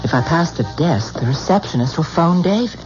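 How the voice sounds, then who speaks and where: An actress playing a loyal, firm, determined wife in a radio drama, from an old broadcast. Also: female voice, low voice, expressive, fairly quick, over music. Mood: brisk. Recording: dull old radio broadcast with faint hiss.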